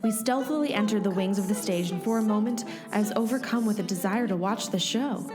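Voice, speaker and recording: Female actor as scatterbrained, chatty heroine narrating a radio comedy adventure, music clearly underneath. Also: mid-range voice, a little fast, natural voice. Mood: hushed storytelling. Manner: chatty, comic, self-interrupting. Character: scatterbrained young woman narrating her secret mission. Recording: music behind the voice.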